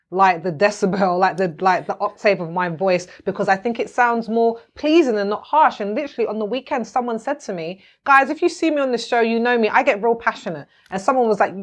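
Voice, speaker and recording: A British woman speaking candidly and reflectively in a podcast conversation. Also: mid-range voice. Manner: earnest, reflective.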